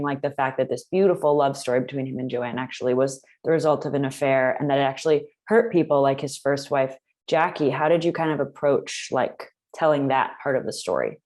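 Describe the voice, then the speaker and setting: A woman's voice: low voice, darkish tone. A woman asking interview questions in a casual, slightly tentative conversational manner. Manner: tentative, conversational phrasing with frequent "like". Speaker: interviewer, film reporter.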